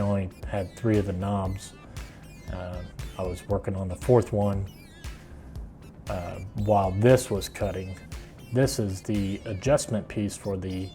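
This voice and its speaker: A man's voice: lowish voice, dark tone. A middle-aged man with an American accent, casually explaining a do-it-yourself project in a plainspoken, unscripted way. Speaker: woodworking video host.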